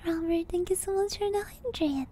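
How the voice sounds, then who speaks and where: A woman hosting an ASMR livestream, speaking softly and soothingly in a gentle, deliberate manner close to a binaural microphone. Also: very high-pitched, slight background noise. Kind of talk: ASMR, soft whispered personal attention. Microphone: binaural ASMR microphone at close range.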